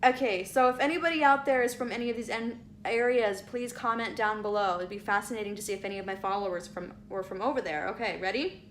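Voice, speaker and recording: Young woman, casual vlogger, chatty asides, reads aloud, mid-pitched voice, faint hiss behind. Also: fast, hardly any pauses. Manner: chatty, reads aloud with asides, stumbles over place names. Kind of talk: casual vlog reading out results.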